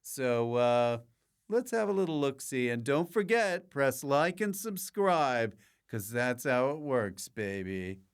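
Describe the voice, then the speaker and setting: A man's voice: medium pitch, very animated, takes it slowly, smooth. A man hosting a comedy podcast, chatting casually with jokey banter and slangy asides. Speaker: podcast host.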